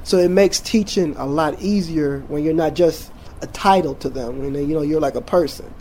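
A relaxed man in his forties, from Jamaica, talks loosely with lots of fillers and restarts, his voice sitting a touch high for a man. A faint hum of room ambience sits under him. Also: moderate pace. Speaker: teacher. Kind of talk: casual interview.

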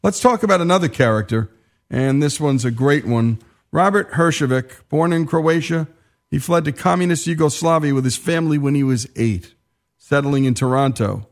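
A man's voice: fairly high pitch, moderate pace. Emphatic male radio host. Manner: emphatic, conversational, with asides. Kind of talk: radio talk show narration.

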